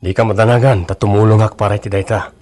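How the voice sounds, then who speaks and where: An actor with a Filipino Ilocano accent playing a polite, determined suitor of twenty-three in a radio drama, with a husky voice. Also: male voice, lowish voice, steady tone, fast, darkish tone, lightly acted. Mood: earnest, reassuring. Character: earnest 23-year-old suitor, polite and determined.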